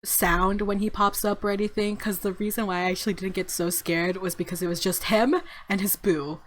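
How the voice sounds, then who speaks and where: A woman's voice: medium pitch, fairly even intonation, brisk, slight background noise. A woman hosting a let's play with casual, slangy commentary, giggly and easily spooked as she reacts. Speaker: gaming video host, let's player. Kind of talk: casual let's play commentary with visual novel read aloud.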